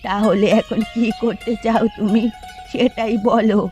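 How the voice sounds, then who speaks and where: A woman's voice: a little high, fairly even intonation, a little slow, mellow, soft background music, close to an everyday voice. A woman voicing a weary, grumbling old village mother-in-law in a Bengali cartoon. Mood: practical.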